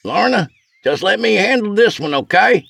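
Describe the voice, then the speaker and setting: Hapless storybook country man, whiny and pleading, high creaky pitch. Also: big pitch swings, moderate pace, a bit bright, no echo, heavily acted.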